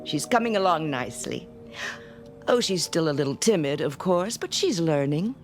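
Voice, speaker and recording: Casting: a cold, obsessive female schoolteacher, lowish voice that drills and commands, radio play with prominent music underneath. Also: big pitch swings, normal speed. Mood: polite, smooth. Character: stern, domineering female schoolteacher, coldly obsessive. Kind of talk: vintage-style dramatic radio acting.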